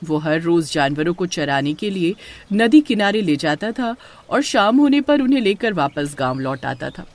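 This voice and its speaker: A woman's voice: lowish voice, expressive, moderate pace. A woman reading scripted horror story narration as the storyteller.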